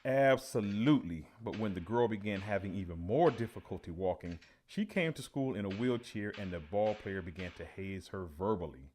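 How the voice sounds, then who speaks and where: Guy acting as earnest veteran high school teacher in a radio play, lowish voice, official explaining tone, noisy recording. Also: darkish tone.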